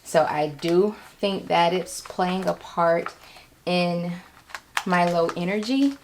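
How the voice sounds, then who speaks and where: A woman vlogger speaking candidly and informally in a halting way, as a writer talking about her own creative work. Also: fairly low pitch, background noise. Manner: halting, informal, acts out quoted remarks. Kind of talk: candid personal vlog. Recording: echoey room sound.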